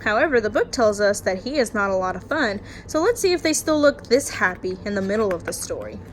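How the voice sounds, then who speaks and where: A woman teacher doing an expressive picture-book read-aloud, chatting directly to young children in an encouraging, explanatory way. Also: mid-range voice, brisk, slight background noise. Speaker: teacher reading aloud to her class.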